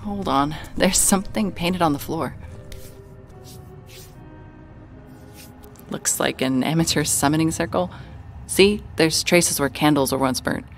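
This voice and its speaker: Low-voiced, calm young investigator. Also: female voice, normal speed, faint music behind. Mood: matter-of-fact. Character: calm, observant young woman researching vampires. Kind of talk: audio drama acting, explanatory.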